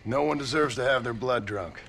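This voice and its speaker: An actor voicing a calm, laconic gunslinger who talks in a dry, patient drawl. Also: male voice, mid-range voice, brisk, creaky, slight background noise.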